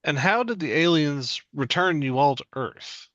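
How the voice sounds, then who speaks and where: Man playing slow, measured official interviewer. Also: on the high side, animated, fairly bright tone, no echo, dull audio. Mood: inquiring. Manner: formal, inquiring straight man. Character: polite, measured official interviewing alien abductees. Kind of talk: comedic sketch table read.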